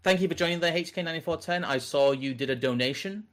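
A man's voice: fairly high pitch, fast, a bit bright. A man from the North of England with a neutral English accent, co-hosting a casual political podcast in a rambling, self-correcting way. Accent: General English. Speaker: podcast co-host.

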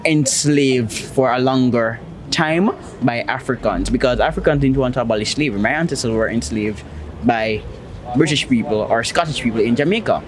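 A man speaking emphatically in a casual street conversation, repeating his points with force. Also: mid-range voice. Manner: emphatic, repetitive, declarative. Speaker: interviewee. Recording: crowd noise of a busy street.

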